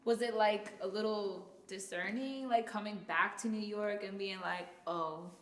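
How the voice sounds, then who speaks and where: A woman's voice: mid-range voice, fairly even intonation, measured pace, a bit bright, slight background noise. A woman hosting a casual interview, encouraging her guest and laughing along. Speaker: interview host. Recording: echoey room.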